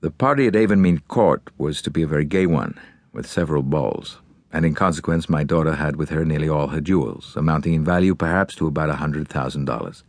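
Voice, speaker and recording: A man's voice: rumbling low, fairly quick, dry recording, voice pushed down. A man voicing a large, older, blunt American millionaire and grieving father, with an American accent, in an audiobook. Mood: factual, sombre. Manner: blunt, forceful, businesslike. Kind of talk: audiobook character acting.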